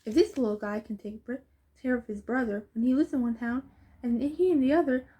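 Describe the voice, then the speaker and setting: Female amateur storybook reader, high voice, mellow timbre. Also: fairly quick, slightly rough. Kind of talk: reading a children's storybook aloud.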